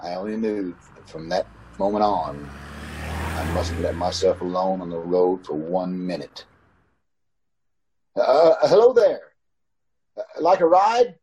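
Guy acting a haunted uneasy driver, lowish dark voice, radio suspense play, some background noise. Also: sing-song, measured pace, pauses often, rough recording. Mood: grim, tense, then nervous, probing. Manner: brooding in narration, hesitant and stammering in dialogue. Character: haunted, uneasy male motorist narrating his ordeal. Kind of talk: old-time radio suspense drama acting. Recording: video call with clear background noise.

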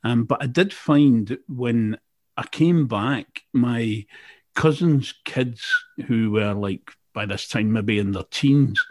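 A man's voice: mid-range voice, slow. A man with a Glasgow Scottish accent, a presenter chatting casually with an author, with plenty of ums and restarts. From Scotland, Glasgow. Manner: chatty, many fillers and restarts. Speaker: interviewer, radio presenter. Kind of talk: casual interview chat.

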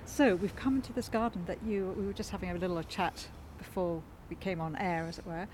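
A woman hosting a podcast, asking friendly questions with soft laughs, outdoors in a community garden, sharing one small microphone. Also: on the low side, fairly even intonation, fairly quick, slight background noise. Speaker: podcast host. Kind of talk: casual interview. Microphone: sharing one small microphone with the guest.

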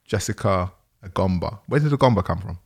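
A man's voice: low voice, lively intonation. A man hosting a podcast, chatting casually with his guest, teasing and laughing often. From Nigeria. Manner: teasing, laughs often, quick back-and-forth. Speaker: podcast host. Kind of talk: casual interview chat.

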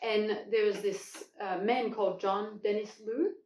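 A woman from France, a guest telling her story in casual conversation, enthusiastic, with halting restarts and drawn-out words. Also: mid-range voice, fairly even intonation, a little mellow. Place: home kitchen, indoors. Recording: echoey room, far from the mic.

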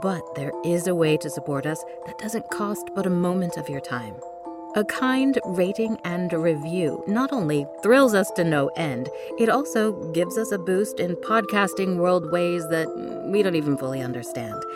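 A woman with a low-pitched voice hosts a scripted podcast outro. Music with chimes plays clearly behind her as she reads. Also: expressive, moderate pace. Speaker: podcast host and director reading credits. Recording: clear music bed under the voice.

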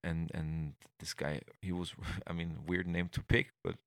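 Deep-voiced Austrian man, rambling. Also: flat delivery, unhurried, fairly bright tone, dry recording, studio quality. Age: middle-aged. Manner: rambling, many restarts and fillers. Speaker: guest. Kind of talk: conversational interview.

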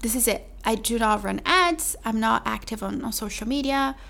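A woman hosting her own podcast, talking chattily to the listener with a mellow voice and calling them "my love.". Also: on the high side, expressive, normal speed, vocal fry. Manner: chatty, calls listener "my love", says "like" often. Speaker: podcast host. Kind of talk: solo podcast sales pitch.

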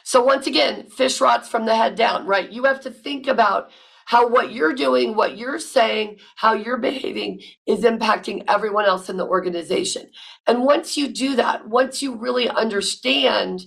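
A woman hosting a podcast, talking with loud, emphatic energy and repeating words when she stresses a point. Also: fairly high pitch, creaky, slightly rough, low-quality recording. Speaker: podcast host. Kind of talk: casual podcast monologue.

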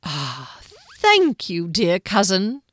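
A woman's voice: lowish voice, wildly swooping pitch, extremely slow, a bit bright, dry recording, studio quality, heavily acted. A woman voicing a pale male lieutenant who is cheerful and menacing, speaking in a mocking, theatrical, sing-song way. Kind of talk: audiobook character voice.

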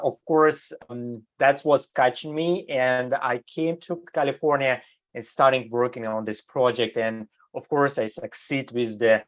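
A man raised in Germany talks through his answers at a medium pitch, his English halting and full of "uh" and self-corrections. He sounds like an interview guest explaining his work. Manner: hesitant non-native English, many fillers and restarts. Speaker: design entrepreneur, guest. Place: California.

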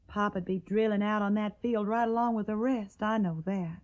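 A woman's voice: medium pitch, fairly even intonation, normal speed, lo-fi sound, close to an everyday voice. An actress playing a tender, troubled and stubborn young Tennessee woman in a Civil War radio drama, speaking earnest, wistful, folksy lines with a Southern accent.

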